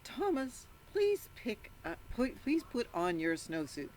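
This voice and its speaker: An exasperated storybook schoolteacher, as a woman reader acts her out, pitched high and insistent. She sounds flustered and pleading as she lays down orders, with some noise behind her. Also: huge pitch swings, slow pace, darkish tone, heavily acted.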